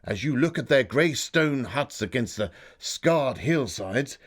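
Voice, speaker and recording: Victorian gentleman diarist, male, acted, earnest and solemn. Medium register, dramatic literary narration. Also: mid-range voice, animated, unhurried. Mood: awed, reflective. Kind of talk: dramatic audiobook-like diary reading.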